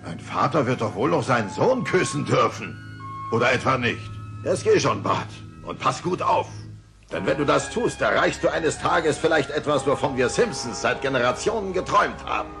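A man voicing a dim, blustering middle-aged cartoon father with a gravelly voice in a dubbed audio play. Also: medium pitch, very animated, normal speed, over music. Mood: fatherly pride. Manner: blustering, exclaiming.

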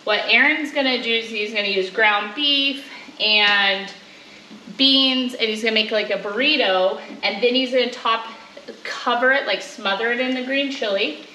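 A woman's voice: a little high, normal speed, sharp tone, a little hiss or hum, rough recording. A woman in her thirties from Alaska, chatting casually as she cooks and explains each step to the camera in her home kitchen. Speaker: homestead vlogger. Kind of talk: casual cook-along vlog. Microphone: handheld or mounted camera, moving around. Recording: clear kitchen clatter behind. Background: clattering pots, dishes and cutlery.

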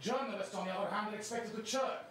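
An actor playing a brisk, teasing journalist friend, recorded on a theatre stage. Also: male voice, high voice, far from the mic, noisy background, close to an everyday voice. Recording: echoey theatre stage from afar.

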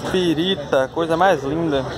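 A man's voice: fairly high pitch, measured pace, poor audio. A man with a Brazilian accent giving casual, admiring commentary as he walks through an outdoor market, listing what is on display. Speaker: vlogger. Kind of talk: casual walking tour commentary. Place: outdoor street market under tents. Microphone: handheld camera or phone while walking. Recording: busy outdoor street crowd noise. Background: loud crowd chatter.

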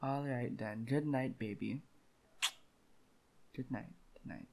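A man's voice: medium pitch, slow pace, gravelly, darkish tone, slight background noise. A young man with a lisp doing intimate boyfriend ASMR roleplay in a playful, teasing manner.

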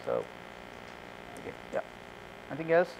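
A man's voice: normal speed, background noise. A man in his thirties, a conference speaker, answering audience questions with many fillers and restarts, on a lapel mic. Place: conference room with curtains.

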